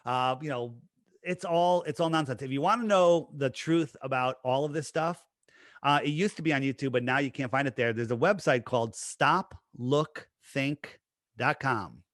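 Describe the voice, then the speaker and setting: A man's voice: mid-range voice, normal speed, a bit bright, no echo, clean studio sound. A man being interviewed in a casual conversation, talking emphatically and piling up rhetorical questions. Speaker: interview guest.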